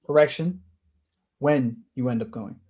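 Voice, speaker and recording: A woman's voice: a bass voice, measured pace, dark tone, husky, heavily acted. A woman playing an insistent, needling younger brother of college-graduate age in a naturalistic staged play reading, pressing and direct. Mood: insistent, challenging.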